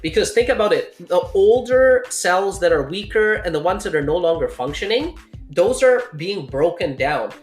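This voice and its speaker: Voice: mid-range voice, expressive, moderate pace. Podcaster in their thirties, roomy echo. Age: 30s. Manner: explanatory, often checks in with "okay?". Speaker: podcast host. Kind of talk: casual health talk. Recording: roomy echo with faint music.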